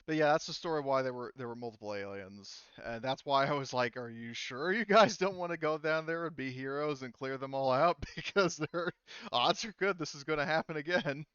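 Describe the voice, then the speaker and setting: A man with a smooth, resonant voice rambles through lore as game master, full of "like" and "um." His voice comes through dry and a little dull, as on an online call. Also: a little high, fairly quick, a bit bright, no room sound. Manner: rambling, filler-heavy explaining. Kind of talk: casual out-of-character explanation.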